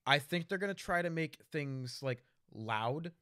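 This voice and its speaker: A man's voice: fairly high pitch, expressive, measured pace, bright, forceful tone, dry recording, studio quality. A man co-hosting a casual discussion, giving blunt opinions. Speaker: podcast co-host.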